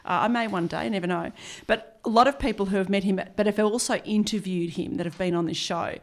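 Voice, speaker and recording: A woman's voice: mid-range voice, brisk. A woman with a mid-range voice leads the conversation as a show host. She is chatty and readily chimes in, keeping to an informal interview style.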